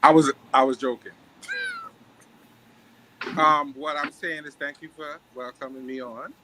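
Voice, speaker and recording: A man, a guest on a live panel, thanking the host, grateful and a little halting. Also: fairly high pitch, steady tone, noisy background.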